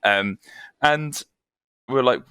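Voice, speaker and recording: Bright-voiced man telling stories. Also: medium pitch, extremely slow, smooth, dry recording. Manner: chatty, self-deprecating, laughs often. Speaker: guest vocalist. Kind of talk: casual interview storytelling. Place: home, evening.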